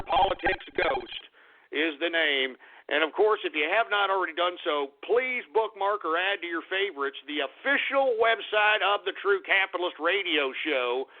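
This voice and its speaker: Ranting high-voiced male radio host. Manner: abrasive, ranting, insulting callers. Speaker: radio talk show host. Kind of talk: call-in radio talk show. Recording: phone-like narrow band.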